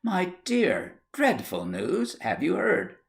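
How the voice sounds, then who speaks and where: A man's voice: high voice, huge pitch swings, takes it slowly, gravelly, voice pushed up, heavily acted. A man voicing a gossipy old aunt in a storybook tale, homely, rambling and dramatic. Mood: scandalized.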